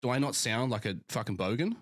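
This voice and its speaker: A man's voice: mid-range voice, expressive, fast, no echo, clean studio sound. A young Australian man, a physique coach, chatting casually and swearing freely on a podcast. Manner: laid-back, sweary, rambling.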